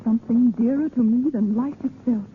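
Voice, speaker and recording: A woman's voice: fairly high pitch, a little fast, lightly acted. An actress playing a dignified, earnest French noblewoman with refined, formal speech in 1930s radio drama. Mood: fervent. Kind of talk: 1930s theatrical radio acting. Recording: noisy, tinny 1930s radio recording.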